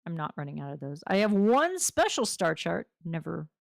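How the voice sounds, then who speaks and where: Woman, low and gravelly, hoarse from a long session; chatty, rambling gamer, recorded dry. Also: very animated, moderate pace, dry recording. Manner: rambling, talkative, self-deprecating. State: voice going hoarse after hours of talking. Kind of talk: casual chat while playing a game.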